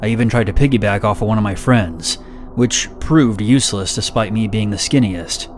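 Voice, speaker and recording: A man reading first-person horror stories in a steady, measured, somber narration. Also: on the low side, soft background music.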